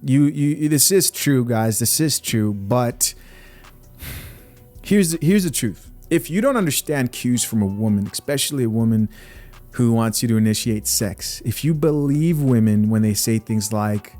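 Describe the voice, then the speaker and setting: A man's voice: mid-range voice, expressive, unhurried, a little mellow, faint music behind. A young man from Zambia hosting a podcast, giving blunt, opinionated commentary straight to his viewers. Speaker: podcast host reacting to clips.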